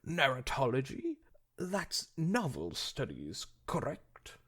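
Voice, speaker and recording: A man voicing a pompous pegasus pony in a stilted, over-proper and drawn-out manner, with a husky, creaky voice. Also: medium pitch, wildly swooping pitch, a bit bright, pitched above the performer's own voice, exaggerated voice. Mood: stuffy, formal.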